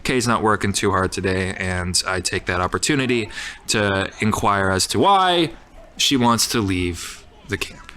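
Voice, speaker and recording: A man's voice: lowish voice, expressive, a little slow, pushed and bright. A man improvising scene narration as a player in a tabletop roleplaying game.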